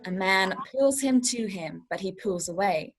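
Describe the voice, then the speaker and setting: A woman narrator reads out stage directions for a play at a steady, even pace. Her voice sits mid-range, and faint music plays behind her. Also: medium pitch, measured pace, husky, low-quality recording.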